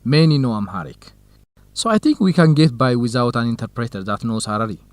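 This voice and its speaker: A man's voice: medium pitch, animated, a little fast, no echo, close to an everyday voice. Khalid, a friendly researcher played by a man, dry studio sound. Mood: explaining. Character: Khalid, friendly curious Ethiopian male field researcher. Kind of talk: scripted educational radio drama dialogue.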